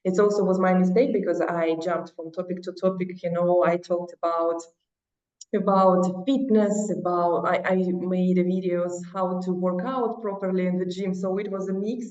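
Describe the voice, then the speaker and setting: A woman's voice: lowish voice, fairly even intonation, normal speed, darkish tone. A woman with a Russian accent, a non-native speaker, talking as an interview guest in an earnest, encouraging way. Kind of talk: casual interview talk. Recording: echoey, distant, dull lo-fi sound.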